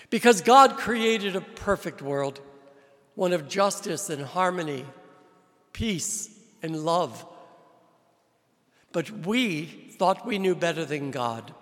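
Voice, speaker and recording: Slow-preaching priest in a big church. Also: male voice, sits high, fairly bright tone. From New Jersey. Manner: slow, deliberate preaching with emphatic rises. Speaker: visiting priest. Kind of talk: sermon. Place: large church with long reverb. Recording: reverberant church hall.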